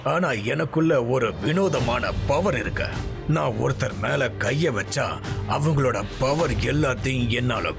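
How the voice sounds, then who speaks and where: A man's voice: mid-range voice, a little slow, vocal fry. A man voicing a scheming, boastful ape king in a dubbed cartoon, taunting as he talks, with music behind him. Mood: gloating. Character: scheming, gloating ape king who steals magic powers. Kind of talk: dubbed cartoon villain acting.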